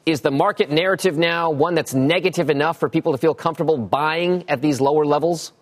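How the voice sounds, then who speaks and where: Business anchor: American man, fairly high voice, live TV presenting and interviewing. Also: fairly quick.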